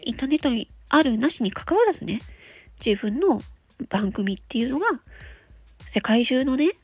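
Woman host explaining stuff step by step, highish voice, bit breathy and husky, music behind. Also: lively intonation, normal speed, a little mellow, no room sound. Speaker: host of an audio show. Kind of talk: casual explanatory talk.

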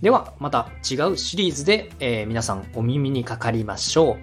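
A man's voice: mid-range voice, very animated, a little fast, faint music behind. A man hosting a podcast, giving feedback in a polite, encouraging way. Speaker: podcast host and adviser. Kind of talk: friendly podcast coaching talk.

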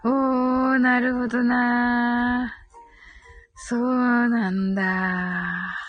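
High-voiced woman chatting over music. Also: flat delivery, very slow, no echo, lo-fi sound. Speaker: live audio stream host. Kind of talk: casual live chat, reading listener comments aloud. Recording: background music behind voice.

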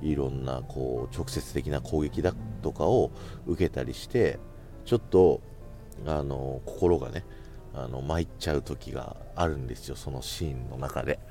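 A man's voice: extremely low pitch, normal speed, warm tone, soft background music. A man hosting a solo radio-style podcast, talking casually and politely to his listeners in a chatty way.

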